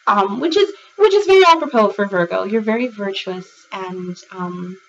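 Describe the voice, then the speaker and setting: A woman giving a rambling, chatty tarot reading to her viewers, a little raspy and congested from a cold, with many fillers and restarts. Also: mid-range voice, lively intonation, moderate pace, recorded from a distance, dull audio, slight background noise. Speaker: tarot reader. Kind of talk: casual monthly tarot reading to camera.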